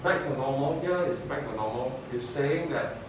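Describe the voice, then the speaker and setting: A man giving a hands-on spoken demonstration as an instructor, explaining in a halting, repetitive way. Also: mid-range voice. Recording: echoey room, distant mic, noisy background.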